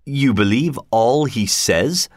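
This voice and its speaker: A man's voice: medium pitch, animated, slow, dry recording, studio quality. Man playing a skeptical, questioning theater manager. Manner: questioning, pointed.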